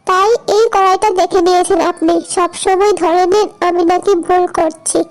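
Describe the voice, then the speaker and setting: Cartoon young wife, played by a woman: extremely high, narrow-ranged voice, dutiful, hurt, pleading with her mother-in-law. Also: fairly even intonation, normal speed. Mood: earnest, plaintive. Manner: earnest, self-justifying, plaintive. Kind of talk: cartoon voice acting.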